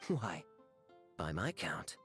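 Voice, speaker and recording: Suave, lilting young knight officer. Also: male voice, sits low, very animated, takes it slowly, fairly bright tone, faint music behind, exaggerated voice. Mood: sly, teasing. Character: suave, playfully teasing male knight officer. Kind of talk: video game voice acting.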